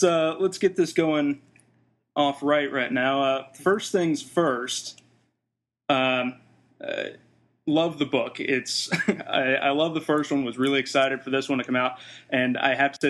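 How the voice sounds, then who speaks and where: A man hosts a casual sports show with a mid-range voice. He talks in an informal, halting way, as if chatting with friends. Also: a little high, fairly bright tone. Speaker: sports podcast host.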